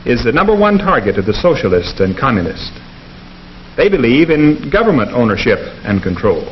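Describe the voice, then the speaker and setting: An actor playing an earnest American professor in a 1950s educational film, speaking in a formal, deliberate, didactic way. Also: male voice, medium pitch, lightly acted. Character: earnest male economics professor lecturing his class. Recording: dull, noisy 1950s film soundtrack.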